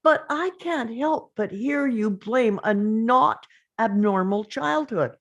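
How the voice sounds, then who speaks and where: A woman's voice: a little high, expressive, takes it slowly, highs cut off, heavily acted. A woman playing a grieving, angry father in a dramatic script read, confrontational and emphatic. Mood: tense, insistent. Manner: confrontational, emphatic, pressing with questions.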